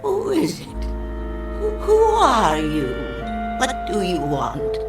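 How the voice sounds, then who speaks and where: An actress playing a grave, cryptic old fortune-telling woman in a radio drama, with a deliberate, solemn delivery. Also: a little high, huge pitch swings, music in the background, heavily acted. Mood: startled, wary.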